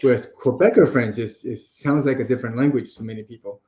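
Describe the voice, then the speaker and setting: A man's voice: mid-range voice, quick pace, mellow. A man co-hosting a casual live chat about multilingual children, rambling and chatty in tone. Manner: chatty, rambling, many fillers and restarts. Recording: dull, roomy audio.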